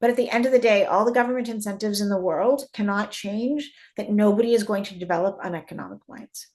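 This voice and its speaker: Canadian-born woman, halting explanatory talk. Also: medium pitch, a little mellow. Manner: frequent restarts and repeated words, thinking aloud. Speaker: guest, mining industry expert. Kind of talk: conversational interview.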